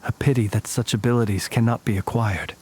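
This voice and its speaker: A man's voice: fairly low pitch, steady tone, a little fast, background noise, natural voice. An actor playing a weary, courtly young man who speaks in formal, archaic and elaborately polite phrases, in video game cutscene dialogue.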